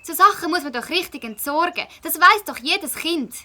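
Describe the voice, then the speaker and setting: A woman voicing an eager, determined schoolgirl detective in a children's audio drama, emphatic and expressive, with a Swiss German accent. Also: very high-pitched, moderate pace, exaggerated voice. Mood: indignant.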